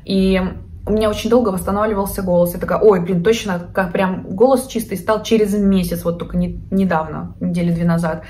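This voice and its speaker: A woman's voice: mid-range voice, fairly even intonation, fairly quick, warm tone. A woman in her thirties chatting casually to the camera from her living room, talking expressively and gesturing with her hands. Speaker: vlogger, psychologist. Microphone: camera at desk distance.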